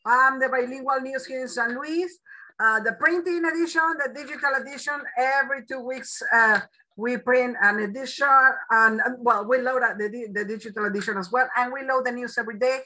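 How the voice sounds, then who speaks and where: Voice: medium pitch, fairly quick, sharp tone, poor audio. A newspaper representative welcoming a newcomer on a video call in an upbeat way.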